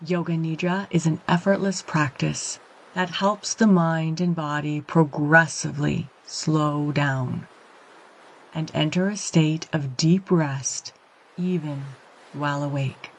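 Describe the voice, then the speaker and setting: A woman guiding a yoga nidra meditation, speaking in a calm, gentle, soothing way with measured phrases and long pauses. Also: deep voice, low-quality recording. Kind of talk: guided meditation, slow and soothing instruction.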